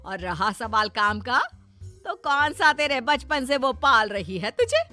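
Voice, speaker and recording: Woman doing a bossy middle aged mother in law for a story, motherly scolding, big pitch swings, slow delivery. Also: sing-song, bright, forceful tone, soft background music, full character voice. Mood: firm, indignant.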